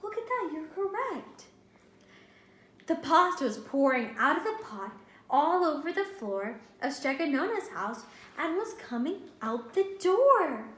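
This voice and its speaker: A woman's voice: high-pitched, big pitch swings, measured pace, fairly bright tone. A woman reading a picture book aloud to young children as a teacher, expressively, stopping to ask questions and explain. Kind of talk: animated storybook read-aloud to young children. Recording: roomy, echoing indoor sound.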